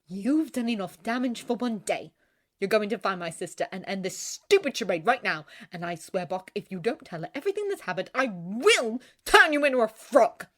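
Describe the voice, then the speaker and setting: A woman's voice: a little high, a little slow, warm tone, voice pushed up, lightly acted. A woman voicing a stern, loyal young woman in a story, blunt and no-nonsense. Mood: threatening.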